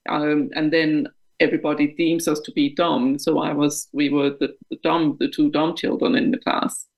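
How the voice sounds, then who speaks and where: A woman's voice: deep voice, little pitch movement, moderate pace, creaky, low-quality recording. A woman in her fifties from Denmark with a Danish accent, speaking frankly and reflectively as an interview guest, laughing at herself. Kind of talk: candid interview conversation.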